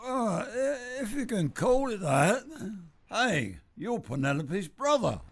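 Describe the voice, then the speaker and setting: Brief: a man as a wry secret agent, high lively voice, slow gravelly drawl. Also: full character voice. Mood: groggy. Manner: wisecracking, wry. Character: wisecracking male secret agent, cool under threat. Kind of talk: amateur radio drama acting.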